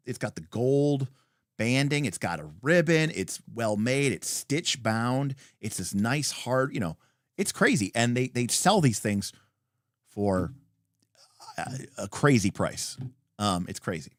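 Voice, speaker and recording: Man, livestream host, unscripted chat, expressive pitch swings, rambling, opinionated, emphatic, dry close sound. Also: no room sound. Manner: rambling, thinking aloud. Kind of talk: reading and answering viewer comments.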